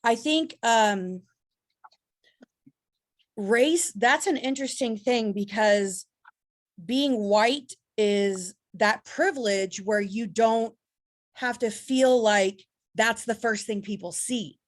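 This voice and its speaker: A woman's voice: fairly high pitch, takes it slowly. A woman taking part in a workshop video call, speaking hesitantly and searching for her words. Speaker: workshop participant. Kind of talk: casual sharing on a video call.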